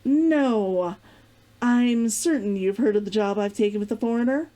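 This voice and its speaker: A woman's voice: fairly high pitch, unhurried, dark tone, lightly acted. A woman voicing a wry young woman speaking informally to an old flame in a storybook reading. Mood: businesslike.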